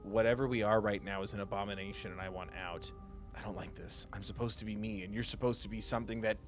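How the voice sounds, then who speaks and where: A man's voice: lowish voice, steady tone, quick pace. A man streaming a game live, chatting casually and muttering dialogue choices aloud. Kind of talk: live let's play, casual chat and reading choices aloud. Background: clear game music.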